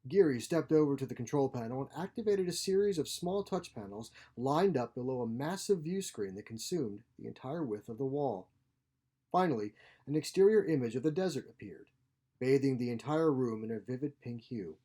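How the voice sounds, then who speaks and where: Mellow-toned man narrating a story. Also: medium pitch, expressive, moderate pace. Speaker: author reading his own short story.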